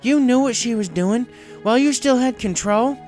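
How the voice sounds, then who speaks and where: Woman acting out blunt female pony, stern, accusing, raised pitch, storybook drama. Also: moderate pace, a little mellow, faint music behind, close to an everyday voice. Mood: shocked, angry. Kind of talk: dramatic audio fiction reading.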